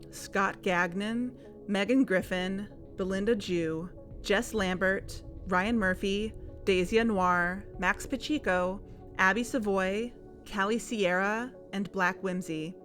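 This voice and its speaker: A woman's voice: mid-range voice, slow, a bit bright, faint music behind. A woman playing a practical, level-headed podcast co-host in an audio drama, with a matter-of-fact manner. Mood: composed. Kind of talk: audio fiction podcast dialogue.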